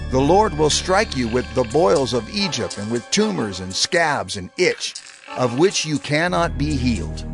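A man playing a stern prophet in a dramatized audio Bible, declaiming solemnly. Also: on the high side. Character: stern male prophet pronouncing divine blessings and curses. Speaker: scripture narrator. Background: music.

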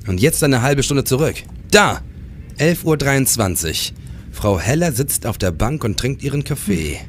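A man's voice: medium pitch, big pitch swings, a little slow. Guy playing a probing police detective in a crime radio play, driven, bit of noise behind him. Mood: urgent, probing. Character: driven, probing male police detective narrating his case. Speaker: radio drama actor. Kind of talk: German crime radio play acting, with first-person narration.

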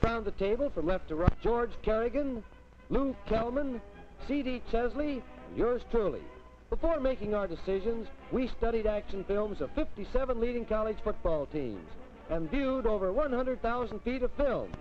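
A man's voice: sits high, very animated, normal speed. A middle-aged American man hosting a sports newsreel in a formal 1950s announcer delivery. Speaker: sports telecaster, show host. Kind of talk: 1950s newsreel scripted narration. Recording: dull vintage film soundtrack, music behind.

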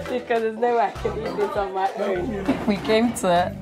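A woman's voice: medium pitch, fairly even intonation, moderate pace, a loud crowd. A young woman hosting a vlog, chatting, asking questions and laughing often in an outdoor restaurant kitchen yard. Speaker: vlogger host. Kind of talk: casual vlog chat and interviewing. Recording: music playing loudly behind.

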